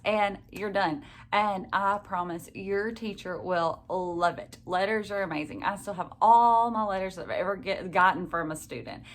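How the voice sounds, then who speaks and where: Slightly low-voiced woman reading aloud. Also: slight background noise. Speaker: storybook reader. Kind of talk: casual chat and picture book read-aloud.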